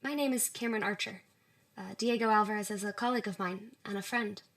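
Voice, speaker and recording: An actress playing a considerate, protective woman, a loyal friend speaking gently and carefully in an audio drama. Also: medium pitch, steady tone, fast. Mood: concerned.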